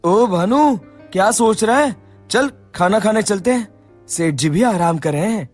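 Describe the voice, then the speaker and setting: Man playing a high-voiced, cheeky shop worker. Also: animated, unhurried, soft background music. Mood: friendly. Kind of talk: Hindi moral-story cartoon acting.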